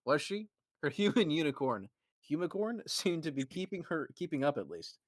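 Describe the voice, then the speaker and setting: A man's voice: a little high, big pitch swings, moderate pace, dry recording, studio quality. Young man reading aloud, giggling. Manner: laughs through the lines, stumbles and restarts. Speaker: streamer reading fan fiction aloud. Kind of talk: casual dramatic reading.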